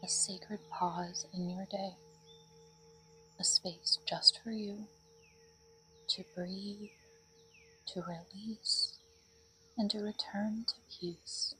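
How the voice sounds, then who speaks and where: A woman leading a guided meditation in a soothing, breathy voice that often drops toward a whisper. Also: medium pitch, fairly even intonation, drawn-out words, long pauses, bright, forceful tone, soft background music, low-quality recording.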